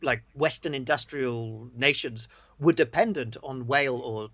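A man's voice: mid-range voice, measured pace, a bit bright, no room sound. Forty-ish guy hosting an interview, dry close mic, mid voice, chatty. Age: 40s. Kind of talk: conversational interview.

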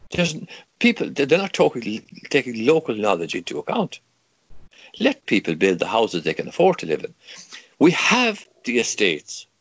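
A man's voice: mid-range voice, husky, no echo, lo-fi sound. An older man from Kerry with an Irish accent, a native Irish speaker talking in English, arguing emphatically in an interview with many stammered restarts and rhetorical questions. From Ireland. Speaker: guest, campaigner. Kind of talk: impassioned interview argument.

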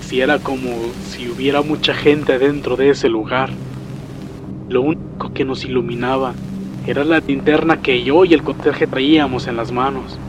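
Guardia nocturno aterrado, interpretado por un hombre. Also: male voice, mid-range voice, steady tone, moderate pace, close to an everyday voice. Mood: shaken, recounting. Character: terrified night watchman, a father breaking down in panic. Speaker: storyteller in a horror story dramatization. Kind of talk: dramatized first-person horror narration. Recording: music behind the voice.

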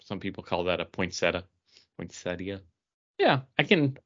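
A man's voice: deep voice, sing-song, normal speed, muffled recording. A man co-hosting a podcast in casual chat, rambling through tentative asides. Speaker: podcast co-host.

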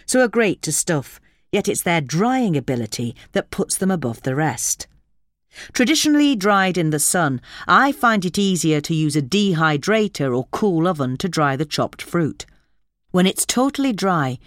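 A woman's voice: low-pitched, no room sound, clean studio sound. A woman reading a gardening article aloud as a scripted magazine narration.

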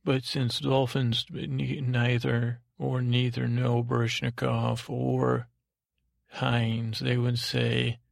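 Guy with a super gravelly, fry-heavy voice doing a meandering bedtime monologue, kinda flat, real dry close sound. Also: mid-range voice, dry recording. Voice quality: soothing, lulling tone. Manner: hesitant, digressive, self-deprecating. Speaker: sleep podcast host.

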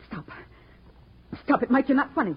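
A dull old radio recording of a defiant but brittle murder suspect. Her husky voice swings widely in pitch, in the style of 1940s radio melodrama. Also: animated, moderate pace, full character voice. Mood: rising panic.

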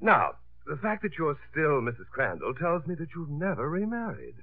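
An actor playing a glib, flirtatious, slightly absent-minded artist in a 1950s radio comedy. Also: male voice, a little high, very animated, normal speed. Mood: mock businesslike. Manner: glib, teasing, bossy. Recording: dry, tinny 1950s radio broadcast.

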